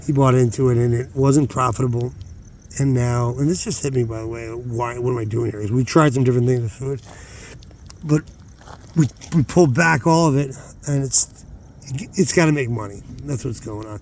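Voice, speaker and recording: A middle-aged man chatting informally to viewers in a rambling vlog, halting as he thinks aloud and repeating words for emphasis. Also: mid-range voice, fairly even intonation, a little mellow, a little hiss or hum. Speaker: vlogger, hobby rockhound.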